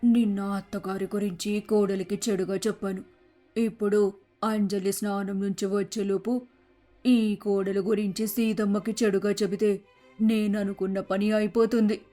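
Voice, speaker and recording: A scheming neighbourhood gossip in a moral story, played by a woman. She speaks in an even, narrow range at middle pitch, with light music underneath. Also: normal speed, natural voice. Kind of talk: acted moral-story dialogue.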